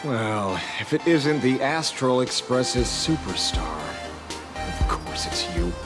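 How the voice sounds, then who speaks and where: A man's voice: medium pitch, sing-song, slow pace, loud music behind the voice. A man playing a cynical, cagey investigator in a video game, with a sardonic, teasing drawl. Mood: mocking. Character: sly, cynical male investigator, taunting and cagey.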